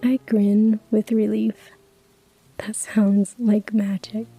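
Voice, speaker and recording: A woman narrating a cozy story in a hushed ASMR whisper, with soft laughs. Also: medium pitch, level tone, slow pace, breathy edge, faint music behind.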